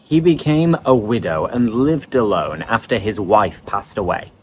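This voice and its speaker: Male English teacher, online lesson, lively and explanatory, pitch swings widely. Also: a little slow, soft background music.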